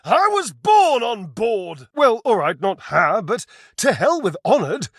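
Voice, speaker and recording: A man playing a flamboyant, flirtatious, theatrical living appliance in a dating game, gushing with pet names and drawn-out words. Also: sits high, very animated, dry recording, clean studio sound, full character voice. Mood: exuberant. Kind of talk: dating-game character voice acting.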